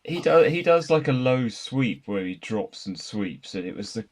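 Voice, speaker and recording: A man's voice: medium pitch, sing-song, moderate pace, a little mellow. A man talking casually as a panelist on a film review podcast.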